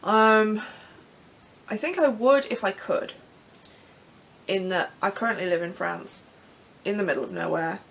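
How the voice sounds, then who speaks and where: A young Englishwoman with a croaky throat, rambling casually through vlog questions from her bedroom with plenty of ums and restarts. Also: medium pitch, lively intonation, unhurried, long pauses, a bit bright. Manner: chatty, self-deprecating, many ums and restarts. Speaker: pagan vlogger. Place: bedroom in front of her altar.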